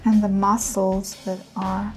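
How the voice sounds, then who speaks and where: A woman leading a guided meditation in a soothing, unhurried manner with gentle pauses. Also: medium pitch, flat delivery, soft background music.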